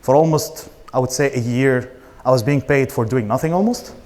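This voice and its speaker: A man's voice: mid-range voice, normal speed, darkish tone. A man in his thirties from Israel, an Arabic speaker, giving an informal, blunt personal talk as a startup founder. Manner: casual, blunt, swearing.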